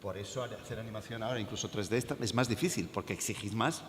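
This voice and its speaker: Low-voiced man on an echoey panel. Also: quick pace, fairly bright tone, slight background noise. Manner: emphatic, hesitant over names. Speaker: guest animator on a panel. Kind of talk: panel discussion. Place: art school event hall. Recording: echoey hall.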